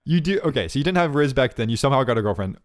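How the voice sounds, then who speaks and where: A man's voice: medium pitch, lively intonation, racing. A young man co-hosting a podcast in casual chat, laughing often and teasing his friend. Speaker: podcast co-host.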